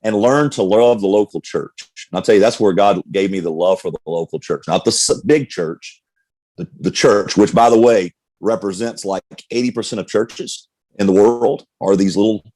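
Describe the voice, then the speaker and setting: Middle-aged male pastor, low pitch, dry close sound, candid testimony style. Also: normal speed, warm tone, no room sound, low-quality recording. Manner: earnest, candid storyteller with many restarts. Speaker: pastor, guest. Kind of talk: personal testimony in conversation.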